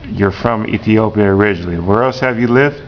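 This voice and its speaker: Patient man teacher, lo-fi recording. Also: brisk. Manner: patient, repeats the answers back. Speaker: teacher interviewing a student. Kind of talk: classroom interview. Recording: dull lo-fi recording with rumble.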